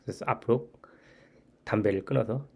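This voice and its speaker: Earnest low-voiced man in his forties. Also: moderate pace, gravelly, husky. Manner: earnest, repeats key points, frequent restarts. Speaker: channel host. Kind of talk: reflective personal monologue.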